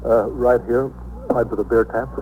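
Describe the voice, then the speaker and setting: A man with an American accent playing a rattled, evasive bartender in a 1950s radio drama, nervous and halting, repeating himself. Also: medium pitch, little pitch movement, phone-quality sound, slight background noise, lightly acted. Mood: flustered, defensive. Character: rattled, evasive male bartender, anxious crime witness. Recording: old radio recording with loud music.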